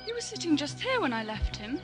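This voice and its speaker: A woman's voice: high voice, very animated, brisk. A woman playing a curious, caring young travel companion in a sci-fi audio drama. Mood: casual. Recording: music behind the voice.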